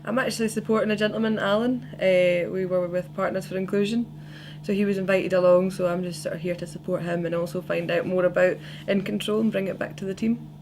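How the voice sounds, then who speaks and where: A young woman with a Scottish accent, a support worker, talking in a quick, chatty way. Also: mid-range voice, fairly even intonation. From Stranraer, Scotland. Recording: noisy recording with hum.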